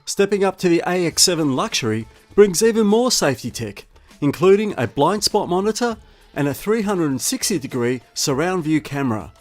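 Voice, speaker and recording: A man narrates a scripted car review. His voice sits a little high and moves with very animated intonation, while music plays softly behind him. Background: music bed.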